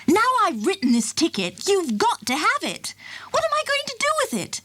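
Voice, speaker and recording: A woman voicing a fussy, flustered woman traffic warden who exclaims and scolds in a shrill, high voice. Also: big pitch swings, moderate pace. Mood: stern.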